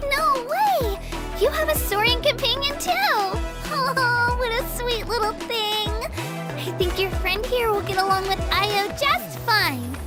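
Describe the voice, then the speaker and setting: A woman voicing a cheerful, earnest young girl adventurer in a video game, childlike, eager and expressive. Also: very high voice, a little slow. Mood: delighted. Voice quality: childlike, girlish. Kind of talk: video game character dialogue. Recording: game music behind the voice. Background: game music.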